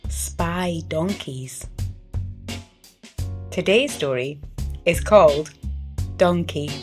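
A woman reading a story for young children in an expressive, deliberate storytelling manner, as the host of a story series. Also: on the low side, over music.

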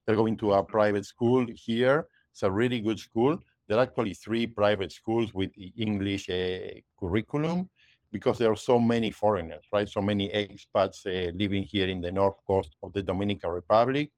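A man's voice: lowish voice, normal speed, a little mellow, no room sound. A man in his forties from Argentina, talking conversationally as a podcast guest, often tagging "you know" and "right?". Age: 40s. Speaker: guest, realtor. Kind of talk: podcast interview.

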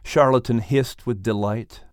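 A male narrator reads a dramatic animal tale for an audiobook. His pace is slow and measured, and the recording is dry and close, with little room around the voice. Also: mid-range voice, fairly even intonation, clear, resonant tone, no room sound. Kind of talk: scripted dramatic storytelling narration.

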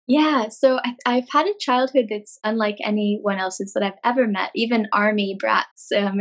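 A woman in her thirties from California, a guest talking casually and chattily, with many fillers. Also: medium pitch. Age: 30s. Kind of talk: casual interview chat.

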